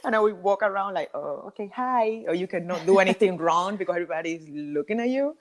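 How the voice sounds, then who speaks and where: A woman in her thirties with a Cuban Spanish accent, a native Spanish speaker, telling her story as a podcast guest with lively ums and laughs. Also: medium pitch, quick pace. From Cuba. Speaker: podcast guest, hair colorist. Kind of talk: casual interview talk.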